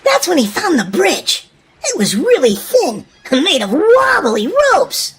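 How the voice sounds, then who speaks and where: A woman's voice: very high-pitched, wildly swooping pitch, a little slow, a bit bright. A woman narrating a children's storybook in a dramatic, emphatic scripted read-aloud style for young listeners.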